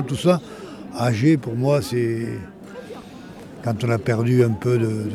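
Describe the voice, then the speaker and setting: Earnest talkative man at a busy market. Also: mid-range voice. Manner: earnest, talkative, full of asides. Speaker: passerby interviewed at a market. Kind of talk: vox pop interview. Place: outdoor market. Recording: busy outdoor crowd behind.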